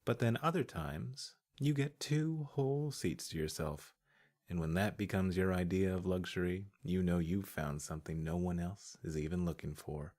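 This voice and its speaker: A man's voice: low voice, normal speed, darkish tone. A man working as a voice-over artist, reading scripted literary narration.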